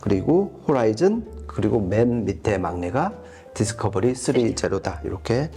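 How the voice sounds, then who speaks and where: A man's voice: on the low side, sing-song, normal speed, mellow, soft background music. A man giving an explanatory product review as a co-host, going step by step and rephrasing as he goes.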